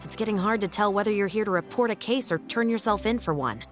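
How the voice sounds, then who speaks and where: A woman playing a composed, dutiful female patrol captain in a video game, speaking in a measured, dryly wry way. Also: mid-range voice, faint music behind, natural voice. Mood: dry, skeptical. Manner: measured, dry, articulate.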